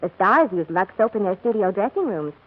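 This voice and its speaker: A woman's voice: medium pitch, big pitch swings, a little fast. An actress playing a gushing, starstruck young woman in a 1940s radio commercial, emphatic and admiring. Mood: confiding. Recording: noisy, tinny 1940s radio recording.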